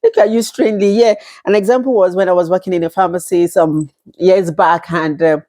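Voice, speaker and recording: A woman's voice: lowish voice, fairly even intonation, fairly quick, warm tone. A woman in her thirties from Nigeria with a Nigerian accent, talking casually to camera, chatty, laughing often and acting out little quoted exchanges. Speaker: vlogger.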